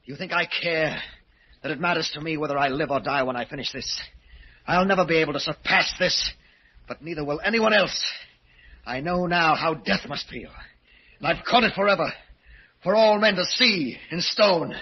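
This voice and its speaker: A man acting an intense, theatrical part in a 1940s radio thriller, with a husky voice. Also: on the high side, normal speed. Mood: feverish, exalted. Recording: muffled old radio recording.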